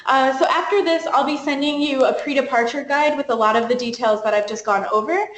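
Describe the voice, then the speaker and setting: A quick, chatty woman from the United States runs through practical advice for students in a webinar. Her voice sits a little high and rings in an echoey room. A faint hiss hangs under the call. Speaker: program coordinator. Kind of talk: webinar presentation.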